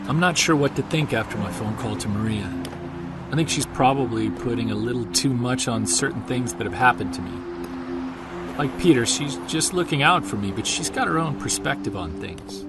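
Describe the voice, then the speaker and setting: An actor playing a weary Sasquatch investigator who narrates and talks in a low-key, guarded way in an audio drama. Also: male voice, animated, normal speed, over loud music, close to an everyday voice.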